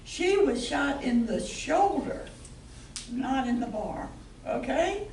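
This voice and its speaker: A woman playing a barmaid in an amateur old-time radio play, delivering her lines in a deliberate, stagey way. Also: high-pitched, very animated, creaky, darkish tone, exaggerated voice. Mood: chatty, matter-of-fact. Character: excitable barmaid Alice and fellow bartender Heloise. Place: live stage show in an echoey room. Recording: echoey distant hall recording with noise.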